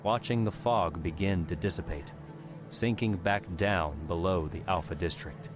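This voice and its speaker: A man narrating an audiobook in a measured, steady reading. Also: sits low. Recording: narration over background music. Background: music.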